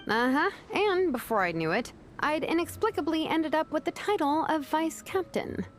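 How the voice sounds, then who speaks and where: A woman voicing a dry, practical young craftswoman in a video game, talking in a wry, deadpan way. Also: sits high, normal speed, a little hiss or hum.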